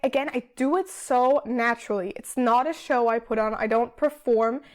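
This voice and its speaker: A woman's voice: fairly high pitch, normal speed. A woman from Austria, a PhD student, chatting casually as a podcast guest and thinking aloud with many fillers. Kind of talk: casual interview chat.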